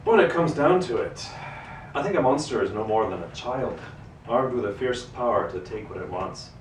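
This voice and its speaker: Male actor as a handsome, gentlemanly neighbor in a storybook reading: measured, bookish, brooding, in a roomy, off-mic recording. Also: mid-range voice, expressive, natural voice. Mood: musing. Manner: courtly, measured, musing. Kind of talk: live dramatic book reading. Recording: roomy, distant microphone, noisy ambience.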